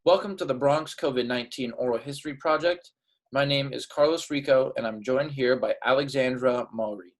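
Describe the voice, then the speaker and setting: A man's voice: medium pitch, brisk. A man hosting an oral history interview, asking questions in a rambling way with many fillers and restarts.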